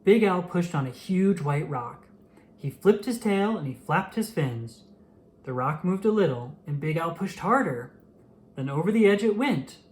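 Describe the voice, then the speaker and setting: Animated children's storyteller with lively, swooping pitch. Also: a little slow, a little hiss or hum. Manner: animated, acts out the dialogue. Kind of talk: expressive children's picture book read-aloud.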